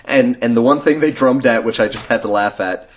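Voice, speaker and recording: A man's voice: mid-range voice, brisk, slight background noise. A man hosting a podcast in casual chat, explaining things in a talkative way with frequent chuckles. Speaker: podcast host. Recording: dull lo-fi podcast recording.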